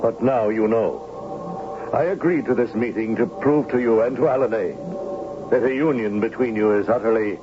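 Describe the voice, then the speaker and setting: A man's voice: medium pitch, normal speed, natural voice. An actor playing a stern, ageless merman king in a radio drama, speaking in formal, imperious pronouncements. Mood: stern, menacing. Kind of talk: 1970s radio drama acting. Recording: muffled 1970s radio recording, music bed.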